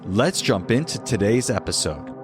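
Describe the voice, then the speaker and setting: Earnest male podcast host, low voice. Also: big pitch swings, normal speed, over music. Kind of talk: scripted intro then interview.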